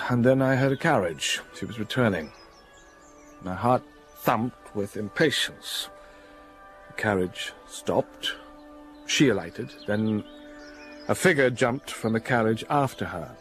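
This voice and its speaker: An actor playing a brooding, sardonic gentleman in a radio drama, speaking in a commanding, brusque way. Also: on the low side, measured pace, faint music behind.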